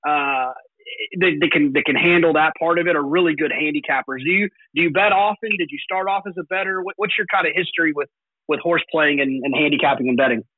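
Male radio host, halting questioner, slightly high voice, phone-line quality. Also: no room sound. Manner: halting, with repeated words and fillers. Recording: tinny phone line, dry.

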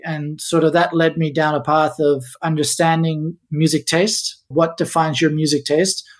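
A middle-aged man, born in the Netherlands and raised in Spain, telling his background as a guest on a podcast in a relaxed, reflective way. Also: fairly high pitch, unhurried. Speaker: guest, musicologist. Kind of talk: podcast interview.

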